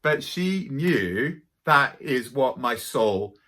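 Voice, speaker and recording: A man's voice: mid-range voice, extremely slow. A middle-aged man with a light Welsh accent telling dramatic spiritual stories to camera. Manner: animated, dramatic storyteller. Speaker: spiritual teacher. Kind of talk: storytelling to camera.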